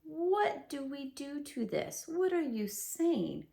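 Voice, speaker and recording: A woman voicing a simple, humble male bear who talks slowly and earnestly. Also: high-pitched, very animated, creaky, mellow, pitched above the performer's own voice, exaggerated voice. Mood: earnest, puzzled.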